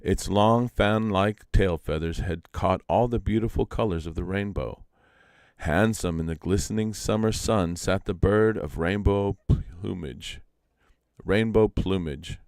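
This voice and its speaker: A man with a low voice narrates a storybook aloud. He is measured and deliberate, with expressive delivery, and the sound is close and dry. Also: dry recording.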